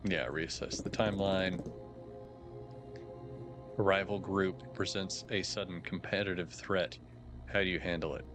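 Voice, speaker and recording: A slightly hoarse man casually commenting while playing a game on a live stream, thinking aloud with wry asides. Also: low voice, steady tone, a little slow, pauses often. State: a little hoarse. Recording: background music under voice.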